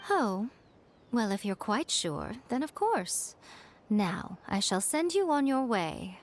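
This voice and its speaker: A woman voicing a sly, elegant fox-spirit shrine familiar in a video game, poised and lofty with deliberate, teasing phrasing. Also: a little high, very animated, a little hiss or hum. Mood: composed, instructing. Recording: game music underneath. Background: game music.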